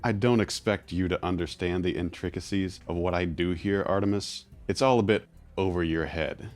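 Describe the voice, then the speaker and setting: Male actor as smooth, guarded fixer: very low voice, formal and condescending, audio drama with music underneath. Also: moderate pace, lightly acted. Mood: condescending, measured. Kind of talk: scripted audio drama acting.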